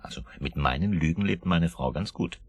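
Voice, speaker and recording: An actor playing a cheerful, boastful womanizer in a German radio drama, with a rough gravelly voice and a flippant, swaggering manner. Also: low-pitched, brisk, no room sound. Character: cheerful, philandering, boastful brother, father of four.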